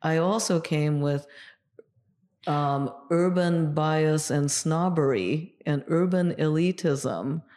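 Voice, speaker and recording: A middle-aged woman, a native Japanese speaker from Japan, telling stories from her past in a relaxed interview, with many "yeah"s. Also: low voice, slow. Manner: reflective storyteller, lots of yeah fillers. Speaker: guest, sex educator. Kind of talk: casual interview conversation.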